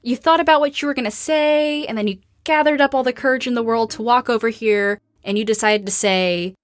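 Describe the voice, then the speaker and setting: A woman's voice: a little high, fairly quick, dry recording, lightly acted. An actress playing a sarcastic young female teacher in a post-apocalyptic audio drama, casual and dry-witted. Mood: teasing, friendly.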